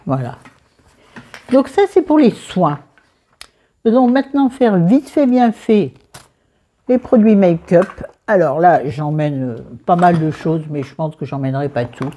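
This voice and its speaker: A woman's voice: lowish voice, animated, a little slow, dark tone. A middle-aged woman with a southern French accent, chatting casually and self-mockingly about her makeup and skincare. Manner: chatty, self-mocking, talks to viewers and friends by name. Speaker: beauty vlogger.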